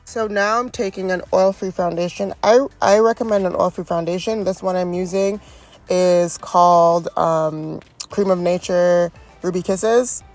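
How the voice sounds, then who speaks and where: Young female beauty vlogger, congested and fry-heavy, friendly how-to voice-over with soft music under it. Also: on the low side, steady tone, measured pace. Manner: chatty and encouraging, frequent ums. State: congested from a cold. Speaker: wig stylist and beauty vlogger. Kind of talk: step-by-step tutorial voice-over.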